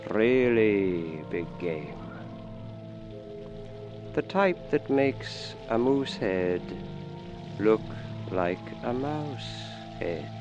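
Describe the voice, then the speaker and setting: A sinister doctor hosting radio mysteries, very slow and low. He is theatrical and ominous, with clear music behind him. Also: big pitch swings, lo-fi sound, heavily acted. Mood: suave, ominous. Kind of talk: scripted radio mystery introduction. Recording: radio show with music and rain bed.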